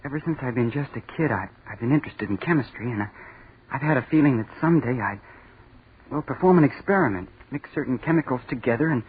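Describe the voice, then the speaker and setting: A man's voice: mid-range voice, animated, fast, slightly rough. An actor playing an earnest, awkward young male college student who hesitates and stammers, in the style of 1940s radio drama. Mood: hopeful. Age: young adult. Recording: noisy, dull 1940s radio recording.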